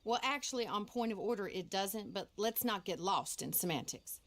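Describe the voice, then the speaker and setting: A woman's voice: mid-range voice, lively intonation, moderate pace, fairly bright tone, lightly acted. An actress playing a prim, sardonic lady ranch owner, polite and pedantic, in a video game. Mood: wry. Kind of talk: video game character acting.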